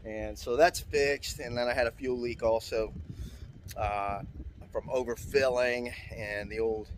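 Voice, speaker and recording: A man's voice: medium pitch, measured pace, a little hiss or hum. Rambling middle-aged man on a sailboat. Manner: relaxed, rambling with many fillers. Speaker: sailboat owner vlogger. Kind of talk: casual vlog narration. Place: outdoors on a sailboat at a marina.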